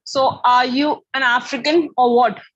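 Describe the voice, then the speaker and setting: A woman's voice: high voice, steady tone, measured pace, lo-fi sound. A woman, speaking while lying in bed and asking blunt, abrupt questions in a casual chat. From Delhi, India. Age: 20s.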